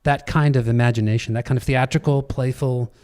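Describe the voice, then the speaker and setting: Halting man, medium-pitched, on a panel. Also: steady tone, darkish tone, clean tone. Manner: halting, searching for words. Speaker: theater director. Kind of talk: live panel talk.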